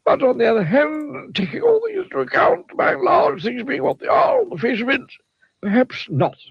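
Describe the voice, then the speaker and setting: Squeaky wordy old wizard, lots of filler, swoopy, kinda lo-fi with faint music. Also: male voice, wildly swooping pitch, normal speed, creaky, crowd noise, heavily acted. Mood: rambling. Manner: dithering, full of filler phrases, very high put-on voice. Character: wordy rambling old male wizard, stern.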